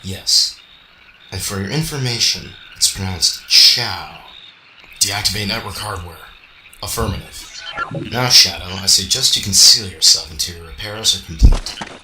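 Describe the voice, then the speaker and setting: Radio-drama cyborg hedgehog, voiced by a man, guarded and machine-like, low-pitched, in a roomy recording with faint noise. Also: a little slow, bright, forceful tone, exaggerated voice. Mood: stoic. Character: stoic amnesiac male cyborg hedgehog, part computer. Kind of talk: amateur radio drama acting.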